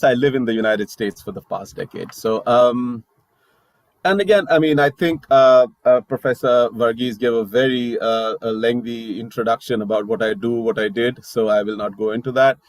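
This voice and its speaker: A man's voice: mid-range voice, normal speed, no echo, poor audio. Indian man giving an online academic talk. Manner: conversational, many fillers and restarts. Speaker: guest speaker, media scholar. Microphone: video call.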